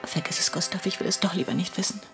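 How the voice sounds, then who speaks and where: A woman playing an anxious, distressed wife in a radio drama, pleading in a hushed way, with music behind her. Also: lowish voice, quick pace, vocal fry. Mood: tearful, pleading.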